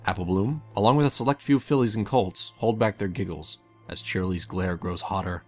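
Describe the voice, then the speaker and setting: A man's voice: low voice, brisk, a little mellow, soft background music. A man reading a scripted story aloud as narrator, addressing the listener as the main character. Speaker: fan fiction narrator.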